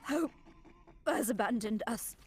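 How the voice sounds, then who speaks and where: A woman playing an exhausted, despairing defender in a video game, with a husky, breathy voice. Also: a little high, expressive, a little slow, full character voice. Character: despairing, exhausted female defender under siege.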